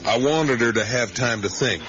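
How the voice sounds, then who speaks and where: A man's voice: medium pitch, normal speed, natural voice. Genial, rambling road-trip dad for radio play, male actor; hesitant, musing delivery; dull, faintly hissy recording. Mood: earnest, musing. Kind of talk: naturalistic 1980s radio drama acting.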